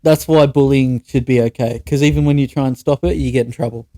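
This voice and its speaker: A man's voice: medium pitch, steady tone, quick pace, mellow, dry recording. A young Australian man co-hosting a podcast, telling stories in a casual, sweary and blunt way. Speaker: podcast co-host. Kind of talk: casual chat, anecdotes with mates.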